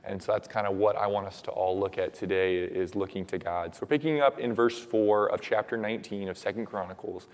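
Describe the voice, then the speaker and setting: A young man with a hoarse, raspy voice preaching and praying in casual, earnest words with many fillers. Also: lowish voice, brisk, hardly any pauses. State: hoarse from shouting at camp. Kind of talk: sermon with prayer. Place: church hall. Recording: long reverb of a church hall.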